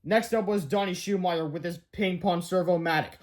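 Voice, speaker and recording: High-voiced man reading a children's book. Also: a little fast, a little mellow. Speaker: read-aloud storyteller. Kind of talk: scripted children's book narration.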